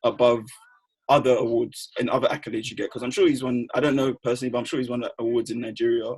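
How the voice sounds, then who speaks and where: Young host, quick and slangy, husky edge. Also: male voice, mid-range voice. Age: young adult. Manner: fast, animated, retells friends' quips with slang. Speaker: podcast host. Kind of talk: casual chat.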